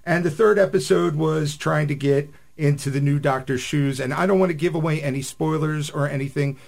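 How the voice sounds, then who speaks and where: A man's voice: on the high side, fairly even intonation, fairly quick, gravelly. A man giving casual commentary as a panelist on a radio discussion. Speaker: science fiction podcast panelist.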